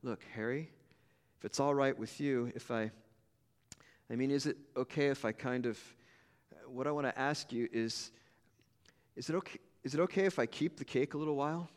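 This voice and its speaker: A man voicing a shy, weary woman of thirty-nine who speaks softly and haltingly, in a hall with long reverb. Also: mid-range voice, animated, natural voice. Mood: hesitant. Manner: soft, halting, hesitant.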